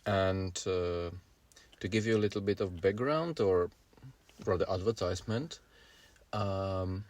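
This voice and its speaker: A man in his forties with a Czech accent, a native Czech speaker, co-hosting a casual podcast and pausing often to find his words. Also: low voice, darkish tone. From Czech Republic. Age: 40s. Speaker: podcast co-host.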